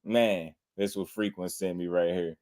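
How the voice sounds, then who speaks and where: Young male host, lowish voice, loose reaction-commentary delivery, frequent laughs. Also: steady tone, a little slow, darkish tone. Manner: laid-back, laughs often, repeats himself. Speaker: boxing channel host.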